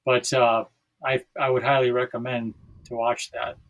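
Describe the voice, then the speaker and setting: A man's voice: mid-range voice, level tone, moderate pace, fairly bright tone, highs cut off. An American man in his sixties from South Dakota, talking casually and reflectively as a podcast guest, often searching for his words. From South Dakota, United States.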